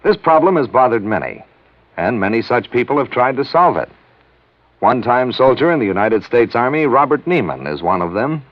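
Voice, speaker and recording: A male announcer reading a scripted public service message in an earnest, measured way. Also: medium pitch, very animated, no echo. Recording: dry, dull vintage radio.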